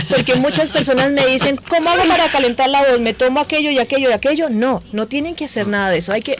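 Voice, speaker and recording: A woman speaking as a guest voice coach in a conversational interview, plain-spoken and instructive. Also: a little high. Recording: music behind the talk. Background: music.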